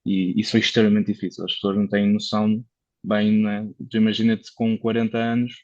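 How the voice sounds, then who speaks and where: A man's voice: lowish voice, little pitch movement, brisk, a little mellow, no room sound, highs cut off. Chatty Portuguese man explaining at length. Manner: chatty and explanatory, many fillers and restarts. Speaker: guest, car consultant. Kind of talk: casual conversational interview.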